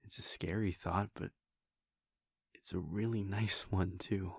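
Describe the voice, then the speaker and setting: A man voicing a devoted adult vampire prince in an audio roleplay, speaking in a hushed, halting, intimate way close to the microphone. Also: sits low, fairly even intonation, gravelly, breathy edge, no room sound, heavily acted. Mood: reflective. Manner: hushed, halting and intimate, with soft sighs and pauses. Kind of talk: audio roleplay aimed at the listener.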